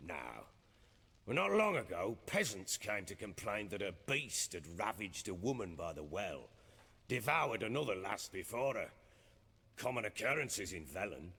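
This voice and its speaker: An actor playing a gruff, proud middle-aged warlord and father in a video game, speaking in folksy, archaic, blustering phrases. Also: fairly low pitch, very animated, moderate pace, sharp tone, big-room sound, exaggerated voice. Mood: dismissive. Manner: blustering, folksy archaic speech, swinging emphasis. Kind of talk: dramatic video game acting.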